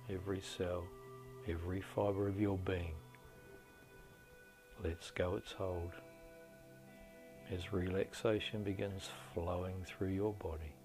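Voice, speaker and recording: A middle-aged man leading a guided meditation in a slow, deliberate, soothing delivery with long pauses. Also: sits low. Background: soft meditation music.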